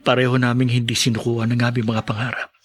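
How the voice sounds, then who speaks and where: A man's voice: mid-range voice, little pitch movement, fast talker, husky, natural voice. Actor as an earnest young Filipino man. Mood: heartfelt. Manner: sincere, heartfelt. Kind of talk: Filipino radio drama acting.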